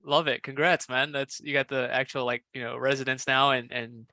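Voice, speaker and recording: A man's voice: medium pitch, flat delivery, moderate pace, bright, forceful tone, dry recording. A man hosting a podcast interview in a casual, friendly way, laughing easily and restarting his sentences. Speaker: podcast host.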